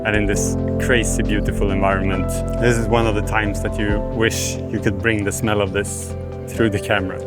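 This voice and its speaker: A man's voice: on the low side, flat delivery, moderate pace. Man vlogging over music. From Sweden. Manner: enthusiastic, reflective. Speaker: filmmaker. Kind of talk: vlog narration. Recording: music playing underneath.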